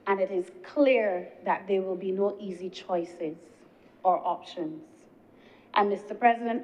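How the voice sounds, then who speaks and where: A woman senator delivering a formal, deliberate speech in the chamber. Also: medium pitch, a little mellow. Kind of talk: parliamentary speech. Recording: dull lo-fi chamber broadcast.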